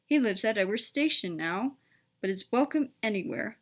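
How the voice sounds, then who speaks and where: A woman's voice: mid-range voice, animated, normal speed. A woman reading a children's story aloud as a scripted narration.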